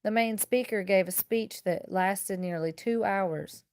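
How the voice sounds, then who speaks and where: A woman reading a children's picture book aloud like a teacher, with expressive, deliberate and emphatic phrasing. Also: medium pitch, clear, resonant tone, no echo, clean studio sound. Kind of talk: expressive children's storybook read-aloud in first person.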